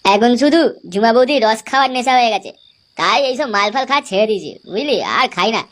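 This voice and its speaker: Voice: medium pitch, fast talker, fairly bright tone, close to an everyday voice. A performer voicing a cocky, foul-mouthed young village lad in a comic cartoon, brash and boastful.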